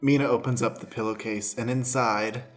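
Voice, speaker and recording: A man's voice: medium pitch, steady tone, moderate pace, soft background music. A man narrating as stage manager, setting scenes in an improvised radio play. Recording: echoey room, faint background noise.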